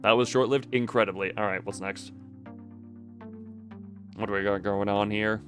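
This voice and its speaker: A man giving casual live commentary on a game as he streams, thinking out loud and often breaking off mid-sentence. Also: lowish voice, steady tone, moderate pace, soft background music.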